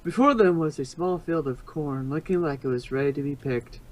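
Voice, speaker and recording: A man's voice: on the high side, normal speed, no echo, low-quality recording. A man reading fan fiction aloud as narrator, informal, sometimes stumbling and rereading a phrase. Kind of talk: casual storybook narration.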